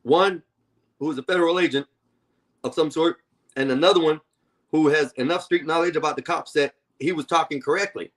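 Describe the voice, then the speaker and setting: A man's voice: a little high, brisk. A man in his forties hosting a live-stream panel, talking bluntly and confrontationally and swearing freely. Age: 40s. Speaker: stream host.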